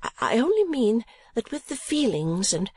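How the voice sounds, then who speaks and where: Storybook figure: earnest young woman, soft and halting, read by a woman narrator, dry close sound. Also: a little high, sing-song, husky, no echo, voice pushed up.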